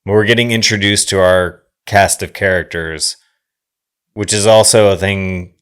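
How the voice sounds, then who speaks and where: A deep male voice in a casual film discussion. He muses as a co-host, circling around his points as he works through an idea. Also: steady tone, measured pace, fairly bright tone, clear, resonant tone. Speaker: podcast co-host discussing films.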